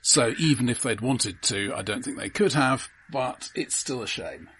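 A man co-hosting a film podcast, talking casually in a halting, analytical way. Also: medium pitch, expressive, fairly bright tone, a little hiss or hum, low-quality recording.

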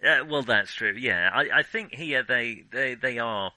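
Bright, crisp-edged man in casual film chat. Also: mid-range voice, no echo. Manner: halting, backtracking, thinking aloud. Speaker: podcast co-host.

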